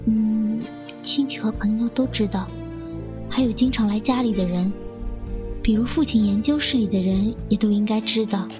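A woman's voice: medium pitch, moderate pace, highs cut off, lightly acted. A woman playing a polite, earnest young daughter answering questions in a radio drama. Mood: subdued, cooperative. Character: soft-mannered young woman, daughter of a professor. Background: music.

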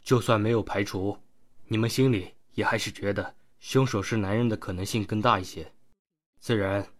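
A man's voice: fairly low pitch, fairly even intonation, normal speed, close to an everyday voice. An actor playing an easygoing, placating male investigator who explains things patiently in an audio drama. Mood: calm, placating.

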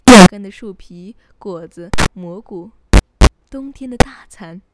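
Loud background sound sits behind a woman narrating a children's bedtime story. She is a radio host with a soothing, scripted storytelling delivery, at an ordinary pitch for a woman. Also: slow, very noisy.

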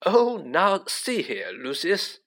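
Storybook official , played by a man: ageing, nervous and flustered, stammering bluster, pitched high, very animated. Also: slow, heavily acted. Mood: alarmed, flustered.

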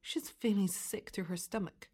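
A woman's voice: mid-range voice, steady tone, moderate pace, a little mellow, slightly rough, natural voice. A woman voicing a kindly, reassuring father in a children's storybook, a little hesitant in manner. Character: kindly, soothing father of a young girl.

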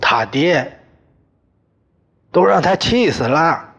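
A man voicing a domineering, furious old father-in-law who shouts orders and scolds, with a husky voice. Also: on the high side, expressive, slow, pitched above the performer's own voice, full character voice. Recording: muffled lo-fi recording.

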